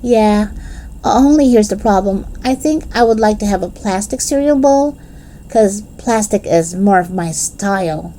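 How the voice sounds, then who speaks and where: A woman's voice: medium pitch, expressive, a little slow, dark tone, natural voice, poor audio. A woman voicing an eager, cheeky little storybook girl, with childlike, emphatic and informal phrasing. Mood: hesitant, frank.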